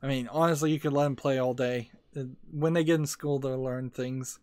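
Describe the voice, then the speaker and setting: A man's voice: mid-range voice, fairly even intonation, fairly quick, darkish tone, clear, resonant tone, no room sound. A young man streaming a card game, rambling through opinions and reading chat messages aloud. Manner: rambling, opinionated, many restarts and filler. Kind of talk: casual commentary while gaming, reading chat.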